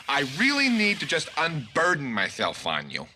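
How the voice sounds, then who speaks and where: A man's voice: fairly high pitch, huge pitch swings, moderate pace, pushed and bright, slight background noise, full character voice. An actor playing a camp, lustful male alien warrior with a flamboyant, suggestive delivery on sci-fi television. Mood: lustful, coy. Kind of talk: campy science fiction television acting.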